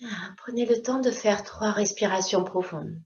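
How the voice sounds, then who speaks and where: A woman teaching yoga nidra, leading a slow guided meditation in a calm, unhurried way with short, gentle instructions. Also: medium pitch, a little hiss or hum. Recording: dull, lo-fi sound.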